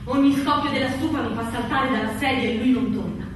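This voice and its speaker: A woman's voice: sits high, brisk, close to an everyday voice. A woman playing a young fireman's wife, devoted, desperate and stubborn, in an intense, insistent style of radio drama acting. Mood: anxious waiting. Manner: insistent, repetitive pleading. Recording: echoey room from far off, faint noise.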